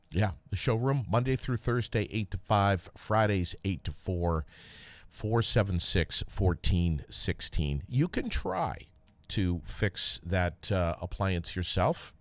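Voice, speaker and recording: Deep-voiced, slow-talking American man. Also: animated, no room sound. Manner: halting, conversational delivery with frequent pauses. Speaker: podcast host, former radio DJ. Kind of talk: casual solo podcast talk with read sponsor plug.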